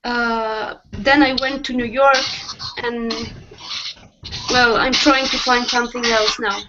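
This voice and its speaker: A woman on a video call, speaking haltingly and searching for her words. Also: a little high, steady tone, highs cut off, very noisy. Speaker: meeting participant. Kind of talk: casual video call chat.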